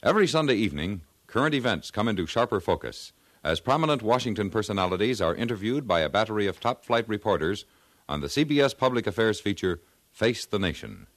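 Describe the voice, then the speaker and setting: A man's voice: on the low side, animated, fast talker, a bit bright, no echo. A man reading scripted credits as a formal, polished 1950s network radio announcer.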